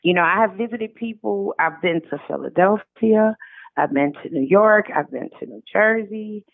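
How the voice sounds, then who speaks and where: A woman's voice: medium pitch, expressive, normal speed, vocal fry, dry recording. Female interview guest with an American accent, heard through a thin telephone-like connection, middle-aged, chatty and emphatic, dry sound. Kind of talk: casual conversational interview. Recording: tinny narrow phone-like line.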